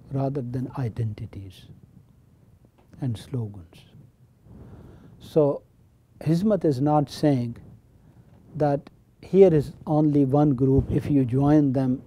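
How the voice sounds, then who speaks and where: A man's voice: mid-range voice, warm tone, slight background noise. A middle-aged man from Pakistan with a Pakistani accent, earnestly explaining ideas in an interview as a college teacher and chaplain. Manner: earnest, explanatory, repeats key phrases. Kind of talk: interview talk.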